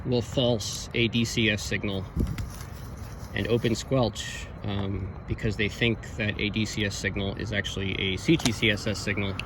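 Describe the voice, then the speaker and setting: A man giving an informal, unscripted technical review, explaining things in a halting way. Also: fairly low pitch, flat delivery, background noise. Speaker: radio equipment reviewer.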